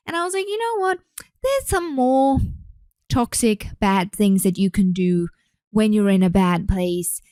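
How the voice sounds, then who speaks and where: Casual female podcast host, average pitch, rambling and keen, interrupts herself often, very dry room sound. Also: expressive, a little slow, mellow, dry recording. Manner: rambling, self-interrupting asides. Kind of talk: casual chatty solo retrospective.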